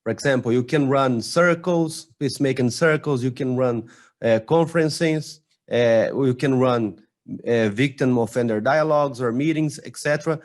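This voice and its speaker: A man whose first language is Portuguese, speaking with a Brazilian accent, gives an online conference presentation in a halting way, with many restarts and fillers. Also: mid-range voice.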